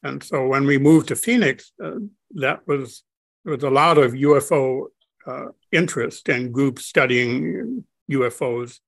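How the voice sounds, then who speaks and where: An older man speaking slowly and haltingly, with many pauses and restarts, as an interview guest on a video call from his home study. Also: mid-range voice, vocal fry, no echo. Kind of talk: reflective conversation.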